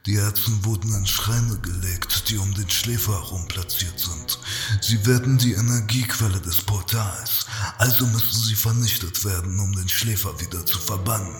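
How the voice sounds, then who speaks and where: A man portrays a grave, weary old sorcerer in a fantasy audio drama. His deep, breathy voice echoes with long hall reverb over music. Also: normal speed, close to an everyday voice. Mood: grave, urgent. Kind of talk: fan audio drama acting.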